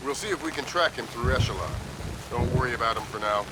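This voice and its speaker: An actor playing a composed intelligence commander, giving brisk, dryly authoritative briefings in a video game. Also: male voice, mid-range voice, noisy background, natural voice. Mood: businesslike.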